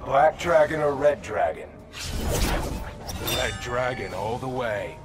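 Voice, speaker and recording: An actor playing a cocky, sardonic masked mercenary in a video game, sneering and wisecracking. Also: male voice, medium pitch, expressive, slow pace, music in the background. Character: cocky, sardonic male masked mercenary speedster, selfish thug. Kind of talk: video game fight dialogue.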